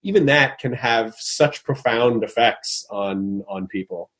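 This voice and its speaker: A middle-aged man hosting a casual interview, thinking aloud with many restarts and growing animated when he acts out what someone might say. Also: mid-range voice, measured pace. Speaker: podcast host.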